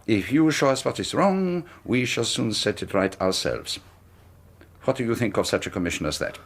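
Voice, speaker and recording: An actor playing a sinister, secretive middle-aged colonel, talking smoothly and insistently in a radio drama. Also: male voice, on the low side, expressive, moderate pace. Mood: persuasive. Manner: smooth, insistent, quietly threatening. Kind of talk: 1980s radio drama acting.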